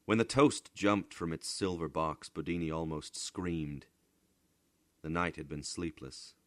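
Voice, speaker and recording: Low-pitched, unhurried male storyteller. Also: no echo. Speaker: narrator, voice actor. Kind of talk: dramatic literary story narration.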